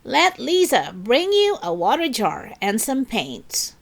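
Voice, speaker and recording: A woman voicing a brisk female schoolteacher giving firm instructions in a children's storybook. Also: a little high, wildly swooping pitch, creaky, heavily acted.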